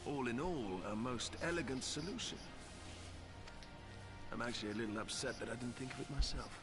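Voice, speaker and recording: A man's voice: mid-range voice, a little fast, close to an everyday voice. Man acting a proud, eloquent engineer, music behind. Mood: proud, amused. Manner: self-satisfied, eloquent. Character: proud, witty veteran male airship engineer. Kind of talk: scripted game cutscene acting.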